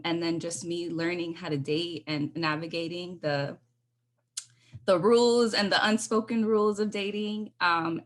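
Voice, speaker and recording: A woman with a lowish voice reads her own poetry over a video call. Her delivery is measured and earnest, and between poems she slips into easy, casual talk. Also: a bit bright. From United States. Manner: measured, earnest recitation with casual spoken asides. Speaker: poet. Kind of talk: spoken word poetry reading.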